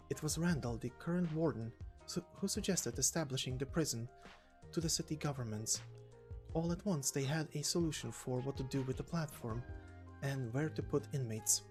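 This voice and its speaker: A man voicing a serious, reserved woman investigator in a game story, with measured, questioning delivery. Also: a little high, pitched below the performer's own voice, natural voice. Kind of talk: voiced game story reading.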